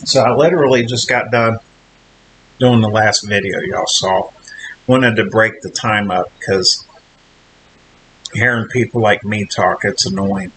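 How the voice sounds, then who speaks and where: A man with a nasal, slightly low voice and a drawl talks loosely to his camera, circling back and restarting his sentences as he goes. He is recording in a hotel room, and a steady hiss sits under his voice. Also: a little slow, pauses often, poor audio. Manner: rambling, chatty, frequent restarts and fillers. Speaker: vlogger, trainee truck driver. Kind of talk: casual vlog talk to camera.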